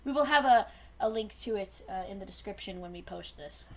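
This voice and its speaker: A woman's voice: mid-range voice, expressive, fairly quick, a little hiss or hum. A young woman co-hosting a fan fiction show, chatting casually with friends in a joking, opinionated way. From Alaska, USA.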